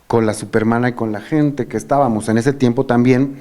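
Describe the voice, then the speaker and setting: A man's voice: medium pitch, steady tone, a little fast, dark tone. A middle-aged man with a Mexican Spanish accent, hosting and telling stories in a casual, reminiscing chat. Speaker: host.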